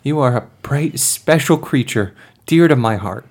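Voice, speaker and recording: A man's voice: medium pitch, expressive, unhurried. A man playing a bantering, coaxing starship captain in a tabletop roleplay, mock-earnest and given to dramatic emphasis. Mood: patient, coaxing.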